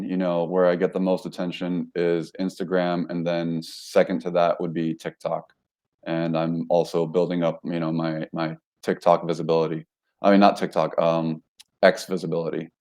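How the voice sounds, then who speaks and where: A man with a notably deep, low-pitched voice talks through his background as an interview guest. He rambles a little, stops to correct himself and leans on fillers as he goes. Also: a little mellow. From United States. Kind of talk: casual interview talk.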